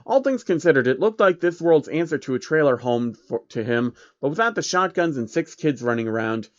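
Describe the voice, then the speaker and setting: A man's voice: fairly high pitch, expressive, brisk, no room sound. A man narrates a comic story in a casual, irreverent way, dropping in sweary asides. The sound is close and dry, slightly dull and lo-fi. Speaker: narrator of online fan fiction.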